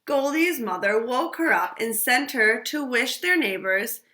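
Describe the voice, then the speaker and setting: A woman reading a picture book aloud to children, expressive and pausing to ask them questions. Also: on the high side, slight background noise.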